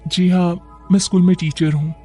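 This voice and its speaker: A man voicing a polite, self-respecting woman of nearly thirty in an animated moral story, speaking earnestly. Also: sits high, normal speed, soft background music.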